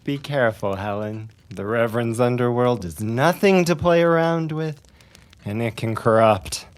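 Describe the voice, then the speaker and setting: A performer playing an ominous small-town gossip with dark secrets in a period audio drama, talking in an understated, insinuating way. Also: medium pitch, moderate pace, lightly acted. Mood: grave warning.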